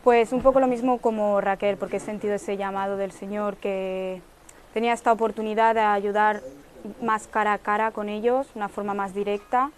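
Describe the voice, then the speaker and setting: A woman's voice: medium pitch, steady tone, moderate pace. Happy volunteer woman from marbella, talking up her experience. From Marbella, Spain. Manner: cheerful, enthusiastic answers.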